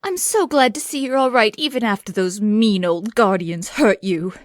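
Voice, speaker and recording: A woman's voice: medium pitch, lively intonation, moderate pace, dry recording, studio quality, lightly acted. A woman playing a doting but callous alien empress in a parody audio drama, syrupy and menacing. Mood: cloying, doting.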